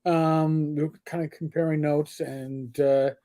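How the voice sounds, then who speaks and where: A man's voice: a little high, level tone, slow pace, dark tone. Rambling middle-aged New York man. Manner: rambling, stop-start with many fillers and restarts. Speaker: podcast co-host. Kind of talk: casual livestream chat.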